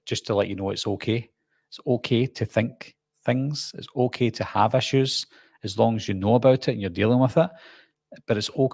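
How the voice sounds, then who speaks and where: A middle-aged man with a Glasgow accent hosts a live stream, reading viewer comments and rambling on with plenty of ums and restarts. His voice sits low with a gravelly edge. The sound is dry and close, with no room around it. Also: a little mellow, dry recording. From Scotland. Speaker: YouTube host.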